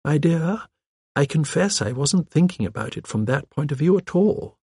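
A man voicing a gentle, shrewd elderly spinster, prim and musing, in an audiobook. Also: a little high, expressive, normal speed, slightly rough, dry recording, clean studio sound, voice pushed up. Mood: politely puzzled. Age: older. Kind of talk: audiobook character dialogue.

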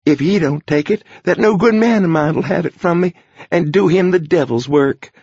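A man's voice: a little high, moderate pace, darkish tone, breathy edge, no echo, lo-fi sound, voice pushed up. A man reading an audiobook voices a toothless old countrywoman who talks in a folksy old-fashioned dialect. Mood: insistent. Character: old toothless rustic tomato woman, wheedling.

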